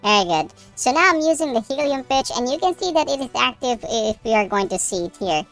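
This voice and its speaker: A man using a helium pitch-shift voice changer to sound like a chipmunk while casually demonstrating software. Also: big pitch swings, fairly quick, vocal fry, soft background music, exaggerated voice. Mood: matter-of-fact.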